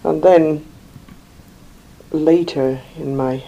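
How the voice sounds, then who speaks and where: An older woman from England, slowly recalling her life in an interview, rambling with many pauses and fillers. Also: low voice, steady tone, warm tone, a little hiss or hum, poor audio. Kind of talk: oral history recollection.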